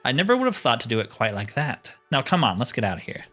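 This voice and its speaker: A man's voice: medium pitch, wildly swooping pitch, fast talker, fairly bright tone, soft background music. A man voicing an earnest, formal guild fighter from a fantasy role-playing game, speaking in declarations. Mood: grateful, relieved.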